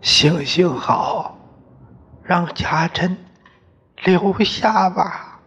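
A man voicing a tearful old mother who begs slowly. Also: sits high, a bit bright, husky, big-room sound, pitched above the performer's own voice, exaggerated voice. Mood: pleading. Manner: slow, tearful begging. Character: pleading, weeping old mother. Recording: muffled lo-fi recording.

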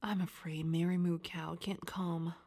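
A woman's voice: fairly low pitch, flat delivery, unhurried, darkish tone, voice pushed down. A woman voicing a kindly, reassuring father in a children's storybook, a little hesitant in manner. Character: kindly, soothing father of a young girl.

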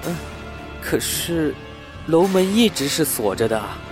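A man's voice: a little high, big pitch swings, measured pace. A man playing a courteous, hesitant and easily puzzled building manager who also narrates a sci-fi radio drama. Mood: puzzled, flustered. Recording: roomy sound with music underneath.

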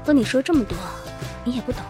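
A woman's voice: sits high, fast. A woman hosting a scripted radio show, mixing monologue with playful, teasing big-sister banter. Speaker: online radio host. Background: music.

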